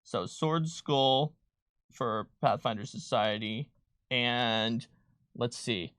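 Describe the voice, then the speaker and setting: Bright-voiced man thinking aloud. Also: mid-range voice, takes it slowly, smooth, dry recording. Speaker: game designer co-hosting a livestream. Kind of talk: casual tabletop game talk, thinking aloud while filling in a character sheet.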